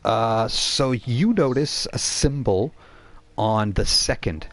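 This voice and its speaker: A man narrating a tabletop role-playing game as game master, conversational and halting, often repeating phrases as he improvises. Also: medium pitch, a bit bright. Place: live online game stream.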